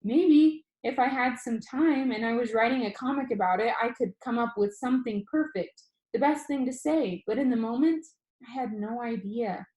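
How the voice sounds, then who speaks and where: A woman reading a novel aloud as expressive storybook narration, telling it in the first person. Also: a little high, moderate pace. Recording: roomy, echoing room sound.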